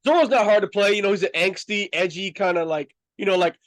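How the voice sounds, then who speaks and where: A man's voice: high-pitched, fast talker, fairly bright tone, no echo. A man hosting a casual fan review chat, speaking in an emphatic, slangy and enthusiastic way. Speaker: host of a review show.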